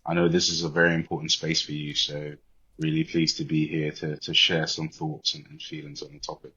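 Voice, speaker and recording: A man in his twenties with a British accent, answering as a podcast guest in a measured, thoughtful and rather formal way, on muffled audio. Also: a bass voice, little pitch movement, bright, forceful tone, husky. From born in Liberia, grew up in the UK. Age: 20s. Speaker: podcast guest, corporate banker. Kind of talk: reflective interview talk.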